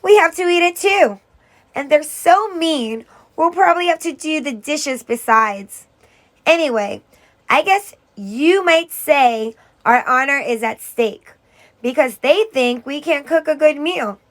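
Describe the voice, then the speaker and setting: Exasperated, high-pitched big sister, read by a woman. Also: lively intonation, measured pace. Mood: practical, resigned. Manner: groaning, insistent complaining. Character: exasperated, practical older sister, a young girl.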